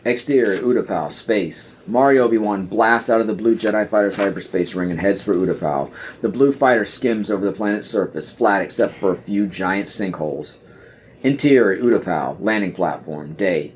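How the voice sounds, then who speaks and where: A man's voice: lowish voice, moderate pace, slight background noise. A man reading stage directions as the narrator of a scripted audio play.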